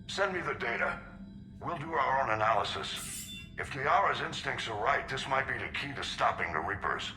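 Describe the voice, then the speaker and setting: Stern admiral over echoey comms. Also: male voice, mid-range voice, animated, sharp tone. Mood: stern, resolute. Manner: brisk, authoritative. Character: older male admiral, stern, steady, authoritative military leader. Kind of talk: video game cinematic acting. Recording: echoey comm transmission, music behind.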